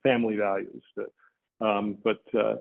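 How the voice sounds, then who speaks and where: A man speaking as a panelist on a video call, measured and hesitant, pausing often to think. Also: on the low side, level tone, gravelly. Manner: measured, hesitant, pauses with many fillers. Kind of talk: considered panel commentary. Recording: tinny phone-like call audio.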